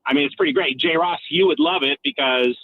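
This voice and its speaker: A man's voice: on the high side, very animated, a little fast, creaky, phone-quality sound. A man who works as an arena announcer, chatting casually as a radio guest in a self-deprecating, jokey way. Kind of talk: casual chat on a radio show.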